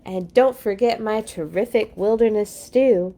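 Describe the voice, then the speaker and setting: A woman's voice: medium pitch, a little slow, gravelly, warm tone, a little hiss or hum. A woman voicing an enthusiastic, confident father bear from a picture book, with a grand, wordy manner. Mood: dreamy boasting.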